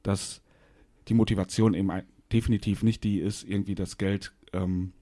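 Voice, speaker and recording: Westphalian guy, lower voice, explains stuff plainly, podcast cohost. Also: level tone, moderate pace, mellow. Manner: explanatory and matter-of-fact. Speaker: podcast co-host. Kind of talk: casual chat.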